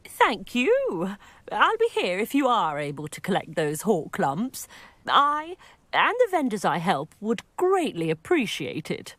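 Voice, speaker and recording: A woman playing a kindly widowed village potion-maker in a video game, gentle, polite and wistful. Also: mid-range voice, wildly swooping pitch, measured pace, no echo, exaggerated voice. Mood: earnest. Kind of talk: video game dialogue acting.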